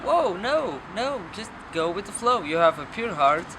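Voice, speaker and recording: Halting man musing over loud street noise. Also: high-pitched, sing-song. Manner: halting, searching, profane. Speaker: documentary film subject. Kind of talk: unscripted rambling philosophical musing. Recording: noisy outdoor street with traffic.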